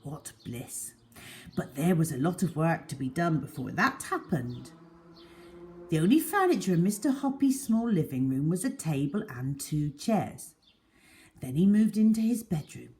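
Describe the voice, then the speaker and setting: Slow, expressive woman storyteller. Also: lowish voice. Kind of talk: expressive children's story reading.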